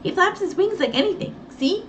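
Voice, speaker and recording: A woman reading a storybook and voicing an eager, confident small boy in a high, childish voice. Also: lively intonation, a little fast, muffled recording, noisy background. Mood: excited.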